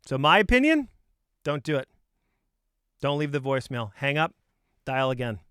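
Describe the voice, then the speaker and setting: A man's voice: mid-range voice, sing-song, normal speed, bright, forceful tone, clean tone, no room sound, studio quality. A man coaching on sales in an informal, opinionated talk, speaking emphatically and conversationally with punchy rhetorical questions.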